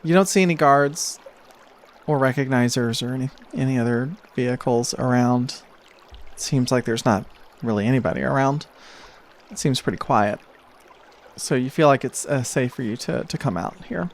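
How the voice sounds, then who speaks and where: A man narrating a tabletop game as game master, improvising in a measured, halting way. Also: medium pitch. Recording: steady noise behind the voice.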